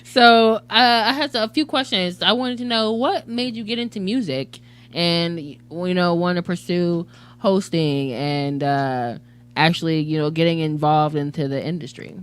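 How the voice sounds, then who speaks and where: A woman radio host asks her guest casual questions, hesitating and filling gaps as she goes. Her voice sits a little low and comes across smooth and clear. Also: measured pace. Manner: hesitant, filler-heavy. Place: web radio studio.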